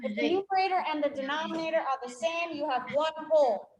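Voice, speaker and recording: A woman's voice: sits high, fast, a little mellow, echoey room. A woman with a New York accent teaching a fifth-grade math class, working problems aloud and quizzing her students. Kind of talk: live classroom math lesson.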